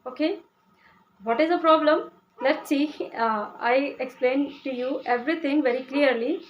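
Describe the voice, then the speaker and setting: Young indian woman w/ hindi accent, pretty high voice, lots of uh's and restarts, keeps asking okay?. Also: normal speed, lo-fi sound, slight background noise. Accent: Indian (Hindi speaker). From India (Uttar Pradesh). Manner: hesitant, many "uh" fillers and restarts, asks "okay?". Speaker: English-learning vlogger. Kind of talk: casual explanatory talk to camera.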